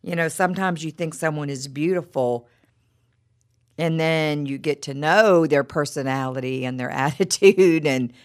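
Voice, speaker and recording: A woman's voice: low voice, moderate pace, clean tone. A middle-aged woman giving a devotional talk with personal stories, her voice froggy from a cold, chatty and full of stammered repeats and "you know".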